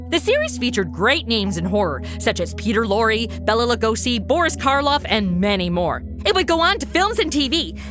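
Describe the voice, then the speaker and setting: A woman podcast host reading scripted narration and appeals to listeners in a perky, chatty way. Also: fairly high pitch.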